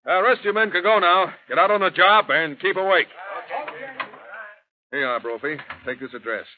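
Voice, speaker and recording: An actor playing a brusque, commanding police commissioner in 1930s radio drama, barking curt orders. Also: male voice, sits high, normal speed, close to an everyday voice. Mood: businesslike. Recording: tinny, noisy 1930s radio recording.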